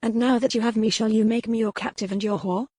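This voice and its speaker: A woman's voice: a little high, fairly even intonation, fast talker, dark tone, no echo, clean studio sound, pitched above the performer's own voice, lightly acted. A woman voicing a proud, resolute young noblewoman in a romance audiobook, impassioned, with formal old-fashioned phrasing. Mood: indignant. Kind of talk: dramatized romance audiobook dialogue.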